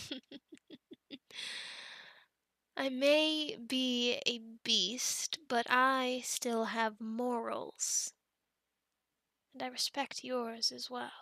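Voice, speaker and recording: A woman playing a possessive, protective female werewolf in an ASMR roleplay, speaking in a breathy, often whispered voice with formal, soothing, deliberate phrasing. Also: sits high, sharp tone, dry recording, studio quality, full character voice. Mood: coy, earnest.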